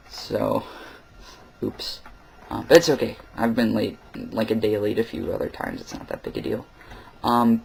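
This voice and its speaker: A young man records a casual vlog at home in the middle of the night. He talks candidly and with a wry edge, filling his pauses with ums and uhs. His voice sits at a middling pitch for a man. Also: creaky, husky. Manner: candid, rambling with many fillers, wry. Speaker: vlogger.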